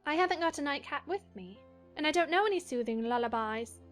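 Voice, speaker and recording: The earnest, very high-voiced young heroine of a children's audio play, reasoning politely over music woman acting. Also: animated, a little fast. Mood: bewildered. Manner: earnest, logical, faintly exasperated. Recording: music behind the voice.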